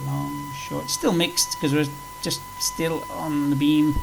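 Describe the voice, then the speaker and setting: Male sim presenter, fairly high-pitched, casual and unscripted, rambling walkthrough delivery over noticeable humming noise. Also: huge pitch swings, slow pace. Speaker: flight simulator video presenter. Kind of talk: casual tutorial commentary while playing. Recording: clearly noisy with background hum.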